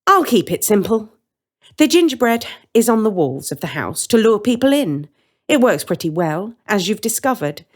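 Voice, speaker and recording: A woman reader acts out a storybook witch who is cheerful and menacing at once. Her pitch swoops widely as she talks in a dry, breezy, emphatic way, with polite menace under the chattiness. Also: very animated, moderate pace. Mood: gleefully menacing.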